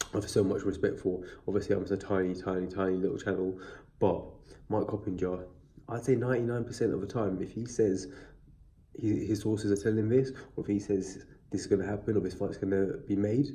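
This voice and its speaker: A man's voice: low voice, mellow. A man with a stuffy, sniffly cold, chatting casually and rambling through a boxing news video on a built-in mic. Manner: chatty, rambling, many fillers and restarts. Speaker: boxing vlogger. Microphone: built-in device mic, no external mic.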